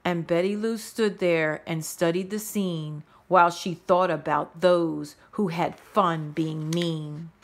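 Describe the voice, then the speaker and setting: A woman reading a rhyming children's story aloud in a measured, expressive storytelling manner. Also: fairly low pitch. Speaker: storybook reader. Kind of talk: children's read-aloud narration in rhyme.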